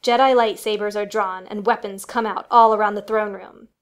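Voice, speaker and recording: A woman's voice: medium pitch, steady tone, normal speed. A woman reading scripted, dramatic narration for a family radio play.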